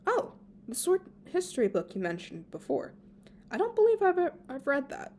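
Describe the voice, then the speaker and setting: A woman's voice: high voice, huge pitch swings, moderate pace, darkish tone, pitched above the performer's own voice. Lady doing a playful fae guy from a fanfic, breezy n fussy, teasing, slightly noisy homemade audio. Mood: playful, boastful. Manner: breezy, chiding, instructing. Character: playful, teasing male fae student, fussing caretaker.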